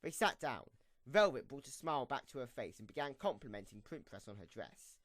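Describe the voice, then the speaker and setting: A man's voice: mid-range voice, very animated, brisk, fairly bright tone, no room sound, studio quality. A man reading scripted story narration as the narrator of an audio drama.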